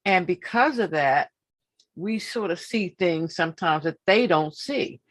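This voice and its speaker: Woman host, fifties, low-pitched, deliberate and emphatic, repeats phrases for weight. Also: animated. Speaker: podcast host. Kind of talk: casual interview.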